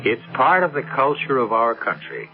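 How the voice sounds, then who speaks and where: A man hosting a radio mystery drama, narrating in a deliberate, rhetorical, suspenseful style. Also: medium pitch, very animated. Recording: muffled old radio broadcast, music behind.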